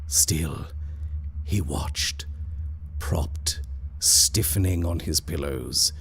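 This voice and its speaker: A man with a very low, deep voice reads a ghost story at an unhurried, drawn-out pace. His delivery is measured and deliberate, sometimes dropping to a hush. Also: vocal fry, a little hiss or hum. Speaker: narrator. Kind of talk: scripted horror story reading.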